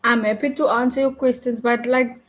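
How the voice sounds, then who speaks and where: Woman, young adult, Afrikaans first language, fairly high voice, casual live-stream talk, halting, frequent restarts. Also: fairly even intonation. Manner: halting, many restarts and self-corrections. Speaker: live forex trader. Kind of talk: casual live-stream chat while trading.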